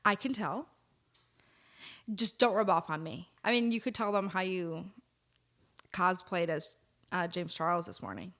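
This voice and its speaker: A woman's voice: on the low side, animated, measured pace, pauses often, a bit bright. Teasing woman co-hosting a chat podcast. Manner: teasing, dry and joking. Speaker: podcast co-host. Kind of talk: casual chat. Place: home podcast set.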